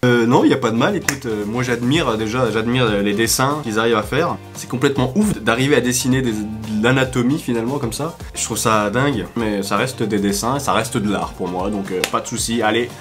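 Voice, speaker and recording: A man who does voice acting answers fan questions in a relaxed, joking way, often hesitating, on a studio condenser mic. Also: medium pitch, fairly quick, hardly any pauses, faint music behind. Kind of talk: casual chat.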